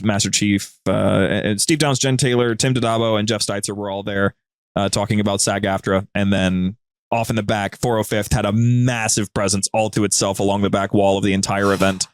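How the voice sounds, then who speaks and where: A man with a fairly low voice chats casually on a podcast. He sounds enthusiastic and uses loose slang, and the recording is dry and close. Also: steady tone, fairly quick, clean tone, dry recording. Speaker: podcast guest and co-host. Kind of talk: casual chat.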